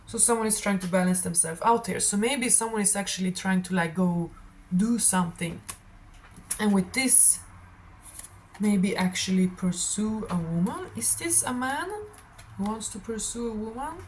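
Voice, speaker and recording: A young woman, non-native English speaker, with a slightly raspy voice, chatting casually and telling stories in a scattered, animated way as she hosts a tarot reading. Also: mid-range voice, normal speed, slight background noise, low-quality recording. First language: not English. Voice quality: a little raspy. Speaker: tarot reader hosting a stream. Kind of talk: casual rambling monologue.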